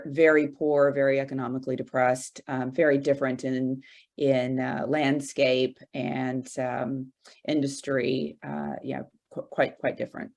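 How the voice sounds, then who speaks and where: A woman in her forties from Arkansas, a guest in an interview, talking slowly with many fillers and restarts. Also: rumbling low, gravelly. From Arkansas, USA. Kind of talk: casual interview conversation.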